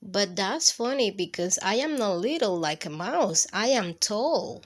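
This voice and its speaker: A woman's voice: medium pitch, animated, measured pace, pitched below the performer's own voice. A woman voicing a small child who insists she is big, strong and brave, with a boastful, childlike manner.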